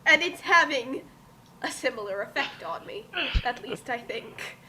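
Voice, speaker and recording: Female commentator, very high and forceful, halting and theatrically exasperated, some background noise. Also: animated. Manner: halting, stammering, melodramatically exasperated rambling. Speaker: co-host of a dramatic fan fiction reading. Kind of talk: casual comedic group commentary.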